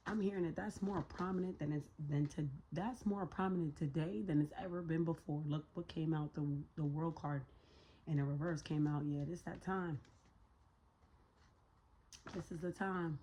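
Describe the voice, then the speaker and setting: A low, husky-toned woman whose voice is hoarse and raspier than usual. She gives a loose, slang-heavy tarot reading, swearing freely and thinking out loud. Her tone is dark and mellow under the rasp. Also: a little hiss or hum, poor audio. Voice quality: raspy. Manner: talks to spirit while pulling cards. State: hoarse, voice a little shot. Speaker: tarot reader. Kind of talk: casual tarot reading to viewers.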